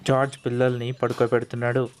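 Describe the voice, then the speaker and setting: A man's voice: mid-range voice, completely flat delivery, fast, loud music behind the voice. A man narrating a children's cartoon story as its storyteller.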